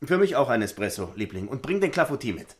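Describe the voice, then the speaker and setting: A man's voice: mid-range voice, big pitch swings, quick pace, natural voice. An actor playing an affable, homespun salesman and husband in a naturalistic stage drama, chatty and folksy. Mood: genial, chatty. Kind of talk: naturalistic stage-play dialogue acting.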